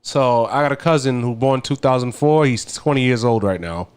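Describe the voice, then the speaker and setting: Laid-back male co-host, jokey and casual, mid-pitched voice. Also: normal speed, smooth. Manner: joking, laid-back, swears. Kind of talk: casual chat.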